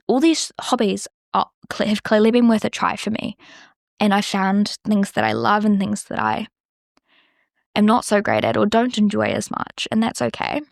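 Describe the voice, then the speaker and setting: A woman's voice: fairly high pitch, gravelly, no room sound, studio quality. A young woman with a New Zealand accent, hosting a podcast in a chatty, rambling way full of "like". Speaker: podcast host. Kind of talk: casual solo podcast chat.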